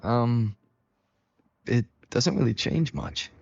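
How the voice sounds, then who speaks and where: An actor playing a calm, thoughtful young hero in a video game, speaking in a measured, low-key, gravelly voice. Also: male voice. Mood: gently concerned. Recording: dull lo-fi game audio, faint music.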